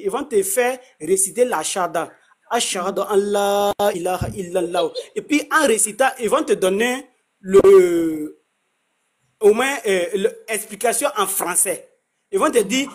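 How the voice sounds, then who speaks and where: Man, breathy voice, halting, repeats himself, casual explanation. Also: high-pitched. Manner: halting, repeats words, many restarts. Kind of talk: casual street conversation.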